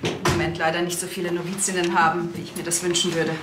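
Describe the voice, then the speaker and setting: An actress playing a devout mother superior, speaking in a measured, pious way. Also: lowish voice, flat delivery, close to an everyday voice. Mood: matter-of-fact. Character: devout, solemn female convent mother superior. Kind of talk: TV crime comedy acting. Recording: echoey room, noisy background.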